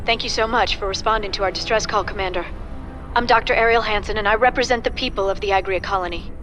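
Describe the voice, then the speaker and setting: An actress playing an earnest, worried colony doctor in a video game cinematic, speaking formally. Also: female voice, mid-range voice, fast talker, fairly bright tone, soft background music, lightly acted. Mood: grateful.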